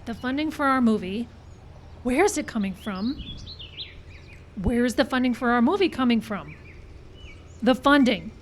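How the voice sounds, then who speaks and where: A woman's voice: fairly high pitch, expressive, normal speed, long pauses, close to an everyday voice. A woman playing an exasperated, sceptical, no-nonsense film team member in a live radio-style comedy, with pointed, commanding delivery. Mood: exasperated, stern. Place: outdoor park stage. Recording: outdoor live show with background noise. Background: birds and outdoor ambience.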